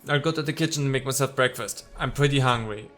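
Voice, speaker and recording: A man's voice: mid-range voice, quick pace, a bit bright, soft background music. A man playing a visual novel, reading its text aloud and adding wry, casual commentary. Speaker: let's player.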